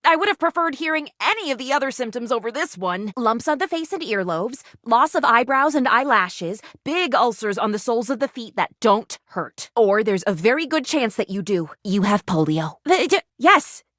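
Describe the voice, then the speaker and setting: An actress voicing an earnest, anxious, bookish would-be doctor in a video game, with quaint, wordy, theatrical delivery. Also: female voice, on the high side, animated, moderate pace, hardly any pauses, a bit bright, no room sound, clean studio sound. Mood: worried, grave.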